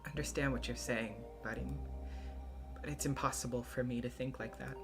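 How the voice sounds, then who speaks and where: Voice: fairly even intonation, creaky, close to an everyday voice. A performer voicing a fragile, tearful schoolgirl over music. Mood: resigned. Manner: halting, tearful, breaks into strained high outbursts. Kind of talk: visual novel voice acting, first-person narration. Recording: music behind the voice.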